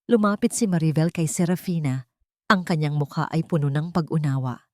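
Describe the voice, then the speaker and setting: A woman with a Filipino accent narrating a scripted dramatic story in solemn, measured phrases. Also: low-pitched, no echo, clean studio sound. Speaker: audiobook narrator.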